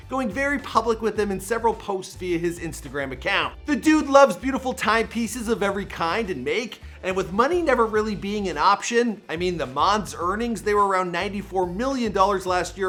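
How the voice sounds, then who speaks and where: Brief: a high, bright male host with a Toronto accent, jokey and slangy, with faint music underneath. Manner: jokey, slangy, punchy delivery. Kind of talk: energetic countdown commentary.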